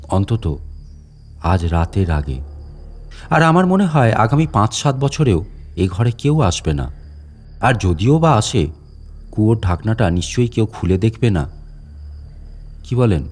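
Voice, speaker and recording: A man's voice: medium pitch, darkish tone. A man playing a menacing old caretaker in a horror audiobook, a grieving, vengeful father who speaks with polite deference and sly questions. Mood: politely sinister. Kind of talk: dramatic audiobook horror monologue.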